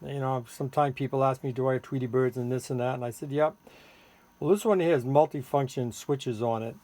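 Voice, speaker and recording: A man giving a casual hands-on product demonstration as a seller, halting and thinking aloud while he works the controls. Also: medium pitch, steady tone, a little mellow, no room sound. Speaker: seller demonstrating a homemade electronics gadget. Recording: clearly noisy, with electronic tweets and siren-like tones.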